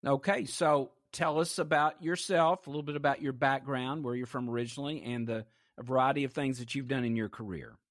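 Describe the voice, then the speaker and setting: A man's voice: mid-range voice, fairly even intonation, brisk, hardly any pauses, no echo. A male talk show host opens with a read introduction in an announcer's manner, then asks the guest open questions. His voice is mid-range for a man and sounds close and dry, as if in a small studio. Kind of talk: interview hosting.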